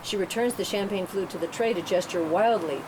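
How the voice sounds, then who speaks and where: A woman's voice: medium pitch, fairly quick. A woman reading stage directions as scripted narration at a table read. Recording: clearly noisy room recording.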